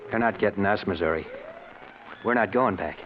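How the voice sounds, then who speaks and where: An actor playing a tough, scheming escaped convict with an American accent in an old-time radio drama. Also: male voice, fairly low pitch, moderate pace, natural voice. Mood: tough, determined. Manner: tough, commanding. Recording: muffled, noisy old radio recording.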